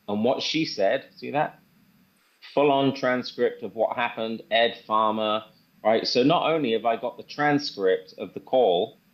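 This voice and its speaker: Guy walking people through software, casual and keen, repeats himself, kinda muffled lo-fi call sound. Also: mid-range voice. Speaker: presenter demonstrating software. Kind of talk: casual live walkthrough. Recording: dull lo-fi online call audio.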